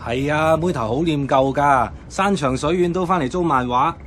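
A male actor plays a familiar, chatty shop owner in a Cantonese radio drama from Hong Kong. His voice sits a little high, with faint noise around it. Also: normal speed, fairly bright tone, close to an everyday voice. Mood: matter-of-fact.

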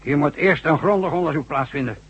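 A man's voice: a little high, fast talker, close to an everyday voice. A man acting a worried, matter-of-fact construction boss in a science fiction radio drama. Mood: grave, decisive. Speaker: actor in a radio play. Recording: dull, noisy old radio recording.

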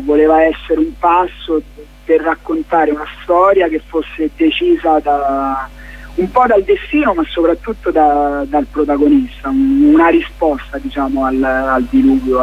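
A man giving reflective interview answers as a guest playwright, haltingly, searching for his words. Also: fairly high pitch, narrow, tinny audio, faint music behind. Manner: halting, searching for words, many fillers and restarts.

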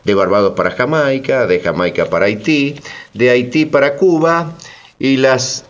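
A man playing an indignant, sardonic storyteller in radio theatre, talking conversationally with expressive irony. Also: medium pitch, normal speed, dull audio, natural voice. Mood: wry storytelling. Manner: expressive, ironic, mimicking orders. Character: indignant, sardonic male storyteller recounting colonial exploitation.

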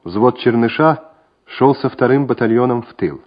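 A man narrating a wartime radio drama in a measured, solemn, scripted way. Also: medium pitch, lightly acted. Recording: dull old radio recording with music.

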